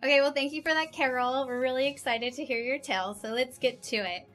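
A woman's voice: high-pitched, a little fast, a bit bright, soft background music. A woman hosting an interview, upbeat and prompting her guest along. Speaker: podcast host.

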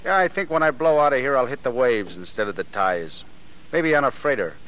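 An actor playing a restless young tough drifter with clipped, slangy American talk, in an old-time radio drama. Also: male voice, medium pitch, lively intonation, a little fast, close to an everyday voice. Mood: wistful. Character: restless tough young drifter and reluctant thief, gruff but soft underneath. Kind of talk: 1930s-40s American radio drama acting. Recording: muffled, noisy old radio recording.

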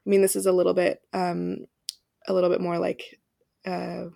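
A woman's voice: fairly low pitch, completely flat delivery, moderate pace, vocal fry, dark tone, dry recording, studio quality. A young woman co-hosting a podcast, talking in a hesitant, casual way with many ums and restarts. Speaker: podcast co-host.

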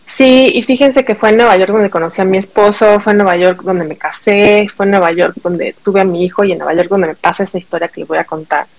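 Mexican woman telling stories, creaky voice. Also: medium pitch, fairly even intonation, fairly quick, few pauses, low-quality recording. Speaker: podcast guest. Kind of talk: personal storytelling in casual conversation.

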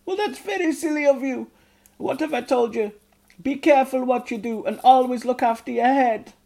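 A loud goblin mother, as a man puts her on in a storybook. She scolds in high, shrill shouts, far above a man's speaking voice. The voice sounds forced and strained. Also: moderate pace, vocal fry, heavily acted. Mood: scolding.

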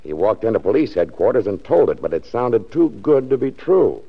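An actor playing a brisk, skeptical, emphatic district attorney in a 1940s radio drama. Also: male voice, lowish voice, big pitch swings, breathy edge, full character voice. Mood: matter-of-fact. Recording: muffled 1940s radio recording.

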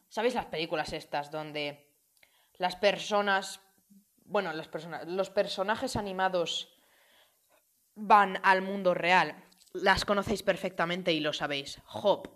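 A woman chatting through a casual film review, addressing viewers with many fillers and restarts. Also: medium pitch.

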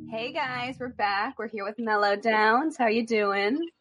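A woman's voice: fairly high pitch, measured pace, fairly bright tone, soft background music. A woman hosting an interview, asking questions in a friendly, chatty way. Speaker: interview host. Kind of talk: casual interview chat.

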